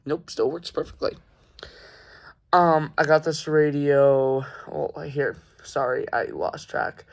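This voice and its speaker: A man's voice: a little high, fairly even intonation, slow pace, creaky. Young man casually showing off his collection. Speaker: hobbyist reviewer. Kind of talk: casual product showcase. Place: carpeted room at home.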